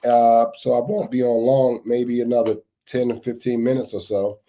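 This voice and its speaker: A man hosting a casual live stream, his voice a bit raspy as he gets over a cold, greeting chat viewers by name and repeating points for emphasis. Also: mid-range voice, little pitch movement, normal speed, warm tone. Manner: repetitive, emphatic phrasing. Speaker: livestream host on security news.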